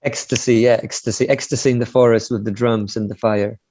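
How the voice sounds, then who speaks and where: A man from Poland, chatting as a guest in an interview in a relaxed, self-deprecating way with many fillers. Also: mid-range voice, a little fast, dry recording. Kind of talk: casual interview chat. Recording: dry, slightly dull sound.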